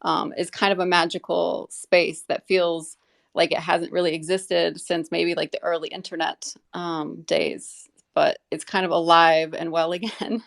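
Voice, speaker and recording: A woman's voice: fairly low pitch, flat delivery, unhurried, gravelly. A woman talking in a casual, earnest and rambling way in a live audio discussion.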